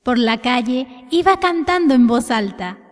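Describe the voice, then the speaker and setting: A woman's voice: a little high, expressive, measured pace. A woman telling a children's story expressively from a script, addressing young listeners directly. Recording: long reverberant echo.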